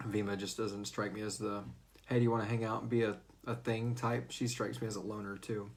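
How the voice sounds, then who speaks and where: A man's voice: fairly low pitch, flat delivery, a little fast. A man hosting a fan Q&A, chatting informally and jokily with salty language as he answers questions. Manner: informal, profane, jokey. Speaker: host of a fan Q&A show. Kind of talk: casual chat answering listener questions.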